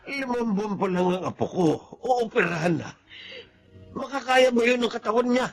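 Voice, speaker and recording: A man's voice: very high voice, animated, normal speed, vocal fry, faint music behind, heavily acted. A man acting a doting, practical grandfather in a Filipino melodrama, speaking earnestly and expressively. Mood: concerned.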